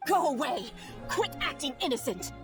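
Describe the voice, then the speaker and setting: A woman's voice: high voice, big pitch swings, moderate pace, over loud music. A woman playing a suspicious, hostile townswoman in a video game, with a scornful, accusing manner. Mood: angry.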